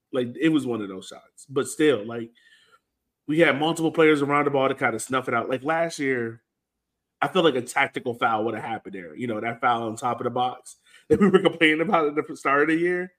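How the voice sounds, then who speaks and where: A man with a mid-pitched voice hosts a soccer fan show. He talks casually and conversationally, leaning on filler words as he breaks down play like a supporter. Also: fairly bright tone. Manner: conversational, heavy use of "like" and "you know". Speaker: soccer fan podcast host. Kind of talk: casual sports talk, breaking down a match.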